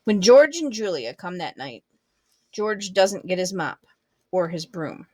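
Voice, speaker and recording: A woman reading a children's book aloud expressively, with deliberate, measured phrasing. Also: fairly low pitch, animated, darkish tone, husky, no room sound. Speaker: storybook reader.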